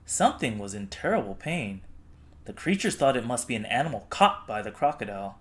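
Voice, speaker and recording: A man narrating a children's storybook expressively, with dramatic, deliberate phrasing. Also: mid-range voice, sing-song. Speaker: storybook reader.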